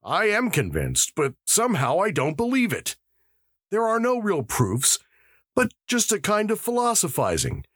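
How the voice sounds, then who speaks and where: A man voicing an eager, excitable young detective who pleads insistently in a raised, high voice. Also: a little slow, breathy edge, no echo, clean studio sound, heavily acted.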